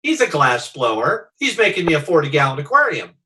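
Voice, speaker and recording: Man playing a high, bright, gossipy pitchman. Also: very animated, fairly quick. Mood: jolly. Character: cheerful gossipy pitchman spinning tall tales. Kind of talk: classic radio comedy acting.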